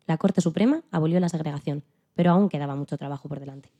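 A woman playing a dignified elderly female civil rights activist who recalls her struggle in a calm, earnest, plain-spoken way, as scripted interview storytelling. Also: sits low, fast talker. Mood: earnest, resolute. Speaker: podcast guest in a dramatized interview. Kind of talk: scripted first-person storytelling in interview form.

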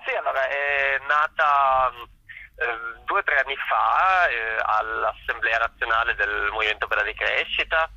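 A man's voice: mid-range voice, measured pace, bright, forceful tone, dull audio. A man giving informal, spontaneous interview answers as an event organizer, hesitantly searching for his words.

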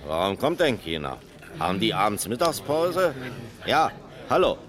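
An actor playing a flustered, plain-spoken pub landlord in a 1970s radio crime drama. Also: male voice, lowish voice, very animated, normal speed, fairly bright tone.

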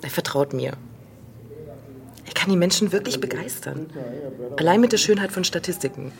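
Very low-voiced, measured woman. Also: lively intonation, vocal fry. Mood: earnest. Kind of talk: radio play acting.

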